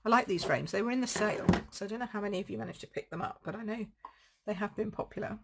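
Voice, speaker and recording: A woman with a croaky throat, chatting casually as she demonstrates card making at home. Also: mid-range voice, animated, quick pace, heavy background noise. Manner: chatty, rambling, thinks aloud while crafting. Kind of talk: casual live craft demo.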